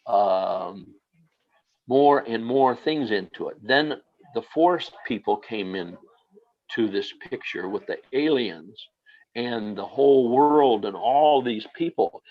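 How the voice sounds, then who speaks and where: A man telling a personal experience, speaking slowly and haltingly with many fillers. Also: mid-range voice, expressive, muffled recording. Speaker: guest.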